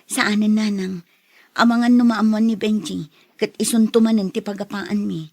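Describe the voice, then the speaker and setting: A patient housewife and mother in a radio drama, careful and a little worried. Her voice is medium in pitch with an Ilocano accent, recorded very dry. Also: steady tone, moderate pace, creaky, dry recording. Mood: anxious.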